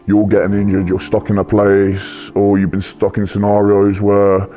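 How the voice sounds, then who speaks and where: A man's voice: on the low side, monotone, moderate pace, dark tone. A man in his thirties, a soldier, giving a matter-of-fact interview testimony. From United Kingdom. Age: 30s.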